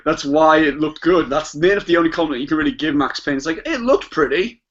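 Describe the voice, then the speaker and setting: A man's voice: fairly high pitch, fast talker, a bit bright. A man talking casually as a panelist on a podcast, through dull, lo-fi audio. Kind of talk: casual chat.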